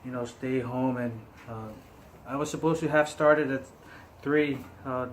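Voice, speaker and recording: A man's voice: medium pitch, steady tone, a little slow, a little hiss or hum. A man improvising prank phone calls, play-acting with a hesitant, stammering delivery. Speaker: prank caller.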